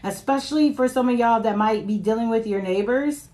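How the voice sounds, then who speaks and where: A woman with a raspy voice giving a casual live-stream tarot reading into her phone, chatting and reading comments between cards. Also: on the high side, brisk, dark tone. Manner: chatty, reads viewer comments aloud, laughs often. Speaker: tarot reader.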